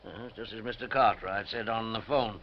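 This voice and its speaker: A man acting in a 1950s radio drama as a fussy, polite but impatient museum official who speaks formally and haltingly. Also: on the low side, close to an everyday voice. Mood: fussy, flustered. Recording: muffled 1950s radio recording, faint noise.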